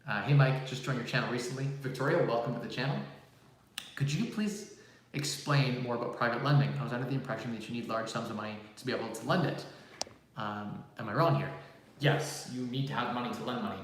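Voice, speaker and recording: A young man in his twenties talks quickly and casually to his viewers, answering questions with plenty of fillers. His voice sits at an ordinary middle pitch. It rings with heavy echo off the bare walls of an empty room, picked up from a phone several feet away. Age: 20s. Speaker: live stream host answering viewer questions. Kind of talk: casual live-stream Q&A. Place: large empty room, new home office. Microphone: phone mic about six feet away. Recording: echoey empty room, distant phone mic.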